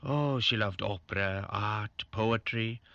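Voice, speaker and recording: A bright, courteous male call operator. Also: on the low side, takes it slowly, gravelly, no echo, full character voice. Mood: sympathetic. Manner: polite, solicitous. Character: Paul, courteous, sympathetic male alarm-company call operator. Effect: intercom speaker. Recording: dull alarm-intercom speaker sound.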